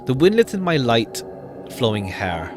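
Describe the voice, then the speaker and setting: Male game streamer, animated range, narrates on-screen text and chats between passages, music underneath. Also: medium pitch, a little slow. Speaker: streamer playing a visual novel. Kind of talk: reading game text aloud with casual commentary.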